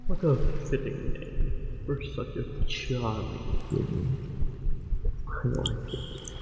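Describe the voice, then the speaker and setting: In a deep wash of reverb, a man plays a courtly, lovestruck merman who speaks slowly and seductively. His creaky voice lingers over formal phrases with possessive devotion, in the intimate style of ASMR roleplay. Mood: courtly, charmed. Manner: formal, deliberate, intimate, pausing between phrases. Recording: very echoey, long reverb, faint music.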